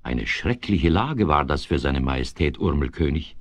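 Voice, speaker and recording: A man narrating a 1970s children's radio play in a measured, expressive way that builds suspense. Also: rumbling low. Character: male narrator of a whimsical children's tale.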